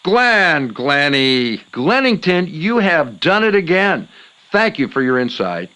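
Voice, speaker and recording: An actor playing a showy, irritable late-night conspiracy radio host with emphatic patter. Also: male voice, fairly high pitch, very animated, slow, bright, forceful tone, clean tone, full character voice. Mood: showy, knowing. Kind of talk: talk radio patter and headlines.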